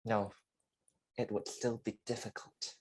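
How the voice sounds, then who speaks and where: A man playing a weary, dying father in a staged play reading, speaking deliberately and with open emotion. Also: fairly low pitch, flat delivery. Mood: solemn, confiding. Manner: slow, deliberate, emotive delivery. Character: dying, confined father, weary, pleading and confessional.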